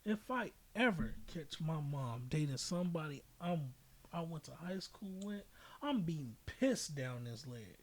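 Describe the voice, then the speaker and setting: A man with a somewhat high-pitched voice hosts a casual podcast. He tells things in a slangy, emphatic way, leaning on repetition and giving his opinions freely. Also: very animated, unhurried. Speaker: podcast host.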